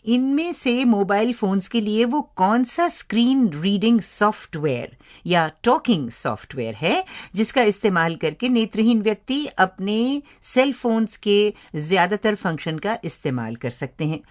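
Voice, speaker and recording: A woman co-hosting a radio program, reading out a quiz question and answers in a lively, playful way. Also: mid-range voice, a little slow. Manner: lively, playful teasing of co-host. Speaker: radio show co-host. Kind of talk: scripted radio quiz segment.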